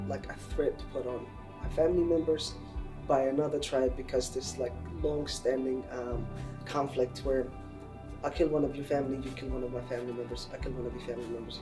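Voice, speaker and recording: A man who runs a nonprofit explains earnestly in a documentary interview, and his sentences often trail off softly. He sounds a little far from the mic, with clear guitar music underneath. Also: medium pitch. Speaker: nonprofit director. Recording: distant mic with music bed.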